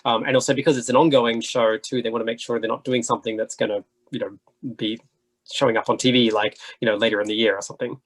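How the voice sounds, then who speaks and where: Guy on a panel chatting away really quick, animated, bit husky. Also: medium pitch. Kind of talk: casual panel discussion.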